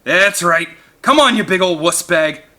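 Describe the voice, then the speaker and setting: A man reading aloud voices a desperate father who hollers loudly. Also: high voice, expressive, measured pace, voice pushed up, heavily acted. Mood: yelling. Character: desperate father, yelling defiantly.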